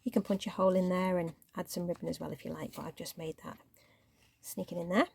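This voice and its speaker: A woman hosting a crafting channel, chatting casually through her project, a little croaky and sometimes dropping to a near-whisper. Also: fairly low pitch, fast talker. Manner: chatty, relaxed show-and-tell, drops to near-whisper while handling paper. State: croaky voice, clearing throat.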